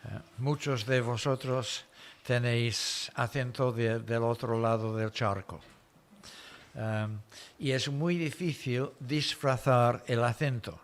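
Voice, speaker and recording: Slow-paced male preacher with a foreign accent. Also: mid-range voice, a bit bright. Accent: foreign accent in Spanish. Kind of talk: expository sermon.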